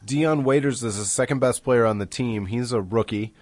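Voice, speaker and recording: A man hosting a casual sports podcast, his voice deepened as he recovers from an illness, talking in a rambling conversational way. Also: normal speed, no room sound. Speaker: podcast host.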